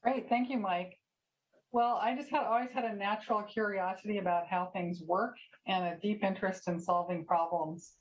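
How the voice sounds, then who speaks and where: A woman's voice: mid-range voice, fairly even intonation, moderate pace, highs cut off. A woman scientist answering panel questions over a video call in a composed, articulate way.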